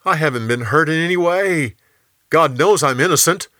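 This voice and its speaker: A man's voice: fairly high pitch, moderate pace, sharp tone, no room sound, clean studio sound, pitched above the performer's own voice. Man acting devout, earnest exile, lifted voice. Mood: earnest relief. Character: faithful, innocent male exile, devout and respectful. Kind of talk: dramatized Bible storytelling.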